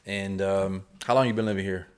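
Guy hosting a podcast, kinda low voice, casual chatty delivery, informal buddy talk. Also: steady tone, brisk, darkish tone, clean tone. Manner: informal, peppers speech with "bro". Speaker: podcast host.

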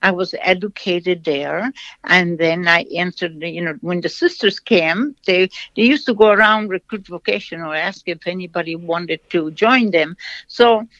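A woman from Brazil with a Brazilian accent, a nun answering interview questions with stories, in a halting way that repeats phrases. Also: fairly low pitch, fairly bright tone, no room sound. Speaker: nun, shrine representative. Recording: dull lo-fi sound, dry.

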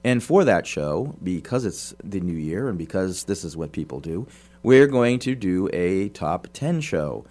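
A man's voice: sits low, a little slow. A priest hosting a casual film podcast, his voice scratchy from a cold, joking and reacting freely. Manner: jokey, lively reactions.

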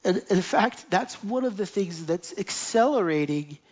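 A man's voice: high-pitched, animated, unhurried, a little mellow. A man preaching a sermon to a gathered church, earnest and building his points with lists and repeated phrases. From United States. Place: church meeting, live streamed. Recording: dull lo-fi sound.

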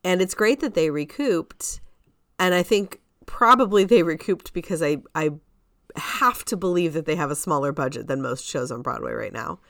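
A woman's voice: sits low, expressive, normal speed, gravelly, no room sound, clean studio sound. A woman co-hosting a podcast in casual, conversational talk. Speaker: podcast co-host. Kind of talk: casual chat.

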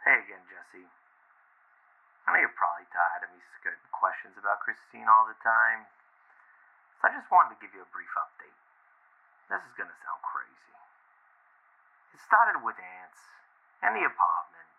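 An actor playing a rattled, hesitant young boyfriend with a gravelly voice, leaving an agitated voicemail in an audio drama. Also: male voice, lowish voice, sing-song, moderate pace, pauses often, full character voice. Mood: worried, rattled. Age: young adult. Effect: phone voicemail. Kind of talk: found-footage audio drama, phone message. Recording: tinny, narrow phone line.

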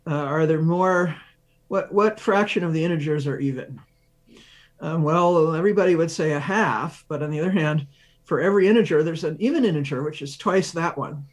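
Higher-pitched man explaining science. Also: normal speed. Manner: thinks aloud, poses questions and answers them with examples. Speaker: research professor, interview guest.

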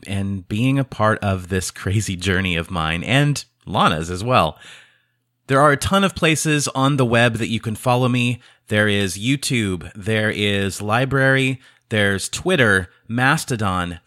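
A man hosts a casual podcast, thoughtful and sincere. His voice sits on the low side. The recording is close and dry. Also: a bit bright, no echo. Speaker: podcast host.

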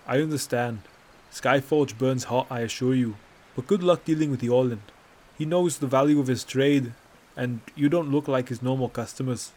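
A man's voice: mid-range voice, normal speed, a little mellow, close to an everyday voice. A man voicing a bored male city guardsman who speaks in a rehearsed, diplomatic way. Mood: relaxed.

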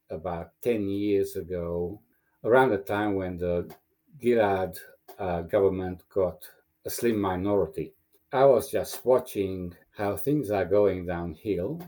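Man, low voice, mellow tone, Hungarian native, middle-aged, thoughtful, hesitant. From Romania. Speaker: guest. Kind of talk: casual interview.

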